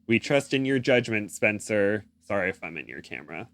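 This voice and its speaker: A man's voice: on the low side, expressive, a little fast, vocal fry. A man co-hosting a podcast in a casual, playful comedic chat, silly and given to exclamations. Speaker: podcast co-host. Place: indoor room.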